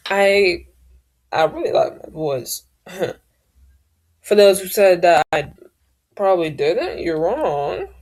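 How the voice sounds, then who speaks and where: A woman with a naturally deep, low-pitched voice, sharing a personal story straight to camera. She speaks slowly and unhurriedly. She often pauses, hesitates and trails off as she searches for the right words. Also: animated, a little mellow. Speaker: vlogger.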